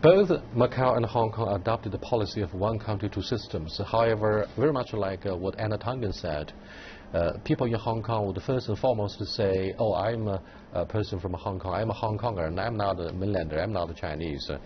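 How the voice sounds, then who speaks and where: Low-voiced man moderating a panel. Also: muffled recording, slight background noise. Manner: measured questioning, many hesitations. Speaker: host.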